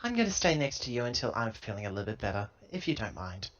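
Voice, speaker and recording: A man's voice: medium pitch, sing-song, fast talker, crowd noise. A man playing a tabletop roleplaying game, talking casually and speaking for his character. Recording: dull lo-fi laptop audio.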